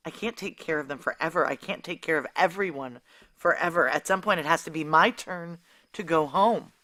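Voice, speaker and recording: A woman's voice: deep voice, fairly quick, bright, forceful tone, no room sound. An actress playing a guilt-worn, blunt female operative on the run in a noir audio drama, wry and terse. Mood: desperate, guilty. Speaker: narrator and lead character. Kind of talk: noir audio drama acting, first-person narration.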